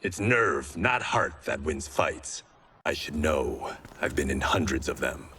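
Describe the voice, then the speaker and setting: A man's voice: rumbling low, lively intonation, unhurried, noisy background. An actor playing a battle-hardened, proud swordsman in a video game, dry, sardonic and sure of himself. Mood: confident. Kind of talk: cinematic video game voice acting.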